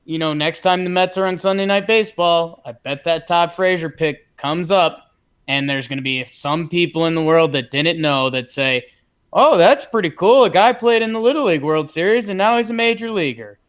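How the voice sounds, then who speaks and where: A man's voice: a little high, moderate pace, clean tone. A man with an American accent co-hosting a podcast in casual sports chat. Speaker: podcast host.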